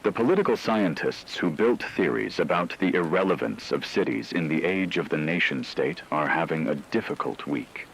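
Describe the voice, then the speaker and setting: A man playing a grave radio announcer, reading scripted news in a measured, formal way. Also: deep voice, animated, a little hiss or hum, low-quality recording. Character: grave male radio announcer reporting on global collapse.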